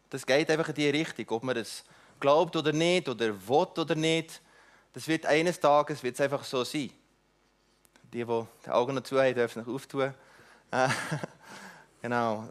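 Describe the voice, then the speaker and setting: A middle-aged man whose first language is not German gives an earnest church talk about mission, halting often with restarts and fillers. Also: medium pitch. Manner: earnest, inviting. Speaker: mission speaker.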